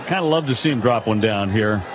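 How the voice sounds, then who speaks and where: A man's voice: on the low side, animated, a little fast. A man commenting on a live baseball game in an informal, opinionated, conversational way. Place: ballpark broadcast booth. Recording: noisy live stadium broadcast. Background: clear stadium ambience.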